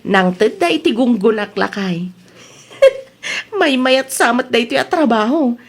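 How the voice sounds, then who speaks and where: A high-pitched woman's voice with an Ilocano accent acting out a village wife in a comedy radio-style drama. She is chatty and evasive, full of exclamations and quick retorts. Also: animated.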